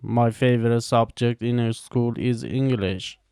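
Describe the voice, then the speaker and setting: Careful, mellow man. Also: medium pitch, fairly even intonation, smooth, no echo, studio quality. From Iran. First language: Farsi. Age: 20s. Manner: slow, careful, evenly paced delivery with pauses between answers. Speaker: language teacher. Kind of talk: reading scripted practice answers.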